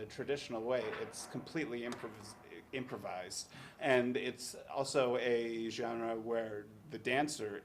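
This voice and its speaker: A man explaining music on a video call, hesitant, with frequent ums and restarts. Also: mid-range voice. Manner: hesitant, many restarts and fillers. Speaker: teaching artist, musician. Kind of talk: casual explanation on a webcast.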